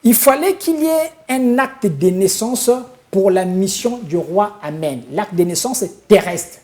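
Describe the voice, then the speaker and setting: A man from Congo-Brazzaville, a spiritual leader answering a studio interview in a solemn, preaching style, pausing often on hesitant fillers. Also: high voice, expressive, a little mellow.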